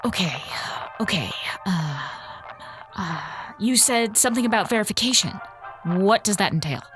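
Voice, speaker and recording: Woman acting a no-nonsense, animated space commander. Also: mid-range voice, moderate pace, over music, natural voice. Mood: resolved. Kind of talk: audio drama acting.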